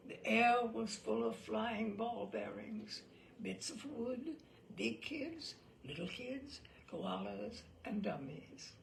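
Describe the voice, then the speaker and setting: A woman reading a story aloud in a dramatic, theatrical way, with deliberate pauses and emphatic phrasing. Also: on the high side, creaky, a little hiss or hum. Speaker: reader of a story.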